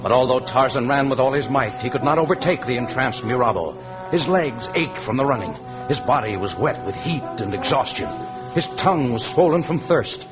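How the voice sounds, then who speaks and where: A man's voice: mid-range voice, expressive, normal speed. A man narrating an old radio adventure serial as its announcer, in a dramatic scripted style. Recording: muffled vintage radio recording with music. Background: dramatic music.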